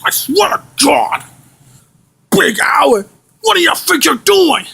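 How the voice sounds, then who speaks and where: A man's voice: extremely high pitch, sing-song, slow pace, gravelly, sharp tone, full character voice. A man voicing an exasperated, short-tempered boss scolding a henchman in a homemade skit, ranting with stammered repetitions. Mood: exasperated, angry. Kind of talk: amateur improvised skit.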